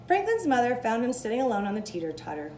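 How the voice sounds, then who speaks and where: A woman reads a children's story aloud in an expressive way. Her voice sits fairly high and swings widely in pitch. There is background noise in the recording. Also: fast. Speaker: storybook reader.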